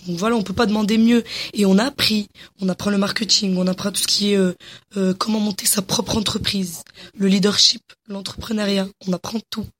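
A young woman from Morocco with a husky, breathy voice. She talks with eager enthusiasm, full of hesitations and restarts. Her pitch sits at an ordinary middle height. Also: steady tone, fairly quick. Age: young adult. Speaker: interviewee, young entrepreneur. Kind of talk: casual interview answers.

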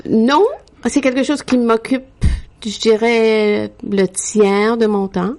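Unhurried Québécoise woman with gravelly tone. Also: a little high, fairly even intonation, a little mellow. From Quebec. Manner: frequent hesitations with "euh", unhurried. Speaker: guest. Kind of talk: interview, telling her life story.

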